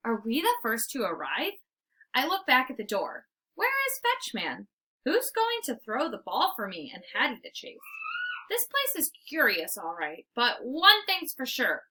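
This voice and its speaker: A woman voicing an excitable, cocky little male dog in a storybook, with dramatic, eager exclamations. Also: on the high side, a little slow, exaggerated voice. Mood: eager, playful. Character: excitable small male dog, cocky squirrel-hating playful hero. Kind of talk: storybook read-aloud, first-person narration.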